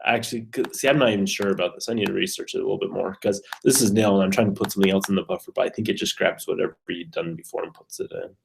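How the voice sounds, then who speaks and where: A man's voice: deep voice, very fast, vocal fry, few pauses. A man casually walking through code on screen like a programming student, thinking aloud in a hesitant, unsure way.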